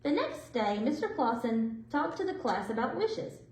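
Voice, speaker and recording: Woman, high-pitched, lively intonation, children's storybook narration, deliberate phrasing. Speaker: librarian reading stories aloud for children. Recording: roomy indoor echo.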